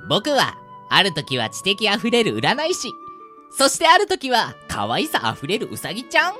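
A woman's voice: medium pitch, huge pitch swings, normal speed, sharp tone, faint music behind. A woman acting in a radio drama as a cute, cheeky, politely bossy talking rabbit guide who speaks with theatrical, boastful courtesy. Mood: showy, welcoming. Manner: theatrically polite, boastful, uses boyish pronoun.

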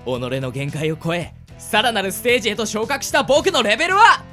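An eager, naive boy adventurer who stammers and boasts, voiced by a woman. Her pitch sits a little high and bounces widely. Light music plays faintly underneath. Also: huge pitch swings, a little fast. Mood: boastful. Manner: flustered, stammering, bright. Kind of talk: radio drama acting.

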